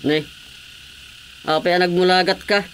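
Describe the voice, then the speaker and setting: A man's voice: high voice, steady tone, measured pace, fairly bright tone, slight background noise. A man acting an ambitious, mocking village councilor with a dismissive manner in an Ilocano radio comedy drama, with an Ilocano Filipino accent. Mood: scornful, boastful.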